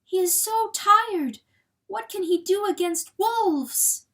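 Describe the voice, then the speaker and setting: A woman voicing a distressed, earnest and loyal hen wife in a storybook, with a pleading, halting manner. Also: sits high, animated, a little mellow, distant mic, voice pushed up. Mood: anguished pleading.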